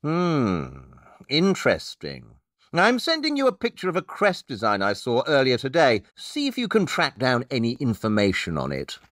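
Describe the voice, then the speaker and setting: A man's voice: mid-range voice, wildly swooping pitch, normal speed, a bit bright, big-room sound. A man playing a brilliant, smug master detective in an audio drama, speaking with pompous, theatrical precision. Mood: intrigued.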